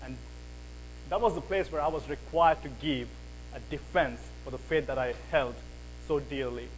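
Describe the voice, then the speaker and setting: A man with a slight Mancunian accent giving an earnest evening lecture on apologetics, pausing on "uh" and asking the audience rhetorical questions. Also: on the high side, highs cut off, slight background noise.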